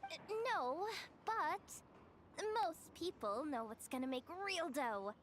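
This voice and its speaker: An actress voicing a brisk, money-minded but kind young guild woman in a game, with casual, teasing delivery. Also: high-pitched, very animated, slight background noise, heavily acted. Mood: scornful, teasing. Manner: casual, teasing, hesitant asides. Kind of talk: animated game voice acting. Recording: background music under the voice.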